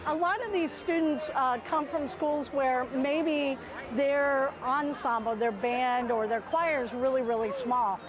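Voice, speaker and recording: A woman with a high, light voice explains a school event clearly and with enthusiasm. Music plays plainly in the background throughout. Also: a little slow, rough recording.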